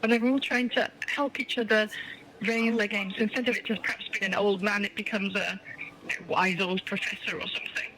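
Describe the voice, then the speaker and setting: A woman's voice: mid-range voice, steady tone, fairly quick, pushed and bright, a little hiss or hum, rough recording. A middle-aged woman being interviewed as a guest, speaking enthusiastically and emphatically, often repeating phrases for emphasis. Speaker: interview guest, children's storytelling project founder. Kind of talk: enthusiastic radio interview answers.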